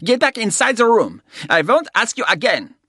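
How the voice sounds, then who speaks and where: A man's voice: sits high, huge pitch swings, moderate pace, breathy edge, no echo, studio quality, pitched above the performer's own voice, heavily acted. A man putting on a harsh guttural old German thug. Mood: snarling. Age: 60s. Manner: snarling, contemptuous shouting. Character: arrogant violent older German man.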